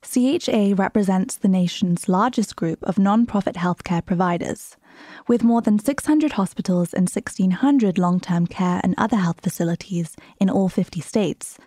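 A woman reads a scripted sponsor message. Her voice is mid-pitched and mellow, recorded very dry. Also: normal speed, dry recording. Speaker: sponsor announcer.

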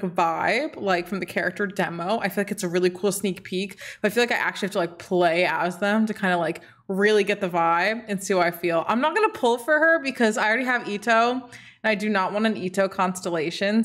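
A young adult woman giving a casual, animated and slangy reaction chat as a game streamer. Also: medium pitch. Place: small indoor streaming room.

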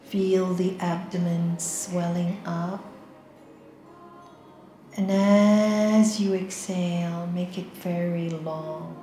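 A woman leading a guided meditation, giving slow, soft, calm instructions with long pauses. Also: on the low side, echoey room, soft background music.

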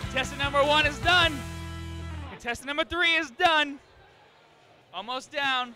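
A young man hosting a contest with a handheld mic in a crowded convention hall, talking loud and upbeat. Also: extremely high pitch, measured pace, faint music behind. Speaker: host. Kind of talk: energetic event hosting.

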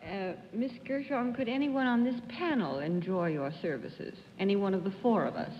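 A woman's voice: medium pitch, moderate pace. A woman on a quiz-show panel asking probing questions in a television studio. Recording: dull lo-fi 1950s broadcast with hall reverb.

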